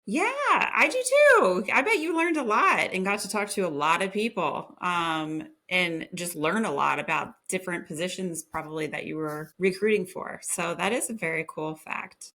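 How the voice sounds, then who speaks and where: A woman's voice: fairly low pitch, animated, normal speed, few pauses, pushed and bright. A woman hosting an interview over a video call, upbeat and enthusiastic as she asks questions. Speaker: host, community leader.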